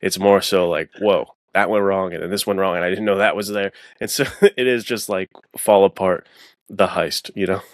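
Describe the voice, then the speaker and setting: A man's voice: deep voice, steady tone, moderate pace, no room sound, studio quality. A man talking as a guest author in a casual, slangy podcast chat. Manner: informal, slangy.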